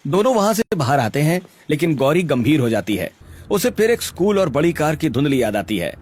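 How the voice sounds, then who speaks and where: A man reads a scripted cartoon story as narrator. His pitch is a little high and moves about in a lively way. Music runs underneath. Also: a little fast.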